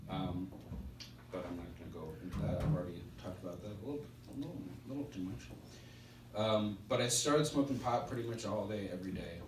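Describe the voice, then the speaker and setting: A middle-aged man with a stutter gives a personal testimony at a recovery meeting, speaking in halting, spaced-out phrases with pauses. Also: fairly low pitch, lively intonation. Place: indoor meeting room. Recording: roomy, distant mic with background hiss.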